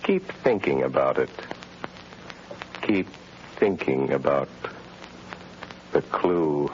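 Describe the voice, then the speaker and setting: Menacing unseen avenger, very low voice, slow and grave, vintage radio thriller, muffled sound. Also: male voice, lively intonation, exaggerated voice. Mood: menacing, taunting. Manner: slow, ominous, deliberate. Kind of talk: 1930s radio thriller acting. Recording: muffled, noisy old radio recording.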